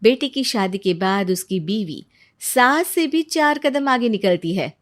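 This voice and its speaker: A woman's voice: mid-range voice, animated, unhurried, dry recording, clean studio sound. A woman narrating a scripted moral story as a storyteller.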